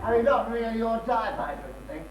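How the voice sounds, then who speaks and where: A man's voice: very high voice, lively intonation, fairly quick. A man with a British accent acting a rambling, self-pitying drunken man in an amateur stage comedy. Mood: maudlin, confiding. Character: maudlin, rambling drunken man abandoned by his partner. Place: small theatre stage. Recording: echoey, distant stage recording with hum.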